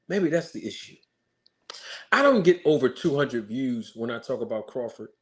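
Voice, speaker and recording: A man's voice: mid-range voice, sing-song, measured pace. A male channel host giving an emphatic commentary rant, mocking critics with repeated rhetorical questions.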